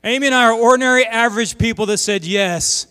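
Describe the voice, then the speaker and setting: A middle-aged man from Oklahoma preaching a sermon as a visiting missionary pastor, telling stories in an animated way. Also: very high voice, quick pace, clean tone. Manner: animated storytelling with voiced asides. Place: church sanctuary. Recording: long hall reverb.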